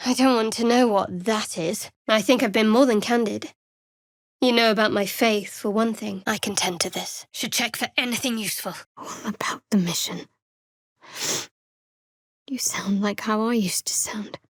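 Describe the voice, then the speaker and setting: A woman playing a guarded, sardonic young cleric of a dark goddess in a fantasy video game, speaking in a dry, measured, wry way. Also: mid-range voice, no room sound, natural voice. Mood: wry, candid, then hushed, wary, then whispered.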